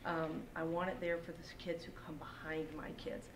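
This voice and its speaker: A woman with a Texan accent pleads earnestly from a podium, her voice a little low and leaning on repeated phrases. She sounds far from the microphone in an echoey library room, with a clear hiss running underneath. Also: little pitch movement, moderate pace. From Texas. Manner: earnest, pleading, emphatic repetition. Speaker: parent speaking at public comment. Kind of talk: public comment at a school board meeting. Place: school library meeting room. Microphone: podium microphone, picked up from a distance. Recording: echoey room, distant mic, audible background hiss.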